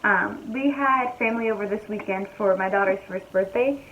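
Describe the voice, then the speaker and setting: A young woman talking casually to her viewers from home, her voice slightly raspy and hoarse, chatty and easygoing as she thinks aloud. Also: mid-range voice, normal speed, fairly bright tone, dull audio, slight background noise. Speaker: vlogger. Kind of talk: casual chat to camera. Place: at home, indoors.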